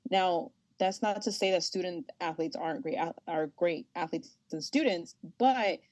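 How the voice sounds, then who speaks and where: Young woman, Spanish first language, casual interview guest, animated, laughs often, lowish voice, dry close recording. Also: normal speed, dry recording. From United States. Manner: animated, laughs often, many fillers like "you know". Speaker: sports journalist guest.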